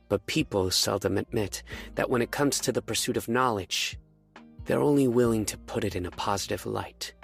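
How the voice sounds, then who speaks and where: An actor playing a calm, resolute, soft-spoken man devoted to justice in monotone video game voice lines. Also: on the low side. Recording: game clip with music behind.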